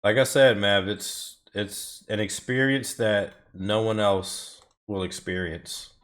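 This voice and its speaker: A man with a slightly low voice chats loosely over his own gameplay. His talk is slangy, relaxed and playful, like a streamer talking to viewers. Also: slow. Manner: laid-back, slangy, joking. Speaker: streamer playing a racing game. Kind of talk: casual gameplay commentary.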